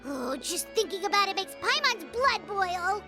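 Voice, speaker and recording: A woman voicing a small, excitable, loyal fairy companion in a video game, with a squeaky childlike voice. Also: unhurried, over loud music, heavily acted. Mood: indignant. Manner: refers to self in third person, exclamatory.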